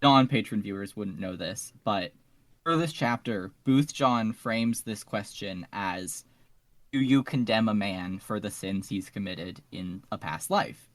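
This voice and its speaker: A man's voice: fairly low pitch, measured pace, no echo. A man talking casually in a podcast discussion as one of the panelists.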